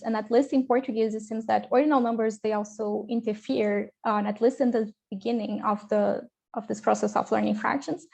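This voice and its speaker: A woman's voice: fairly high pitch, creaky, a little mellow. A woman presenting research in an online academic panel, talking with hesitant fillers and restarts.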